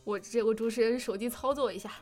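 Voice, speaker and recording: A woman's voice: on the high side, fast, darkish tone. A woman hosting an informal live interview as the presenter of an online stream. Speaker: livestream host interviewing voice actors. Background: music.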